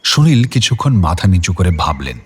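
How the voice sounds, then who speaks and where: A man's voice: low voice, big pitch swings, a little fast. A man narrating a scripted audio story as the narrator of a drama.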